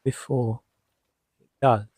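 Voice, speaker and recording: A man guiding a sleep hypnosis session as a hypnotherapist, soothing and gently reassuring, leaving long pauses between short phrases. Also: medium pitch, steady tone, breathy edge, no echo. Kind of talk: guided sleep hypnosis narration.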